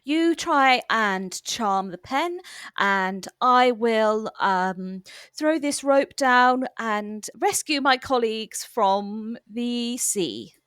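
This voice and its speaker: A woman acts as a capable, reassuring temp in a comic radio play. She talks slowly and helpfully in a high-ish, dryly recorded voice. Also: expressive, a bit bright, smooth, dry recording, studio quality, heavily acted. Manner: reassuring, measured.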